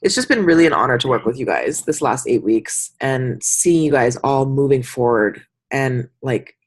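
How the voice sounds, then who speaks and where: A woman's voice: a bass voice, animated, moderate pace, breathy edge. A woman mentoring a business group on a call, talking informally and encouragingly and often acting out little example remarks. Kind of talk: informal coaching talk on a group review call.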